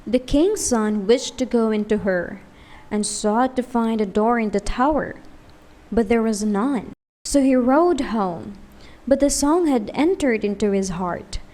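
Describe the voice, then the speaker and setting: A woman's voice: fairly high pitch, dark tone. A woman reading a scripted fairy tale as a storyteller.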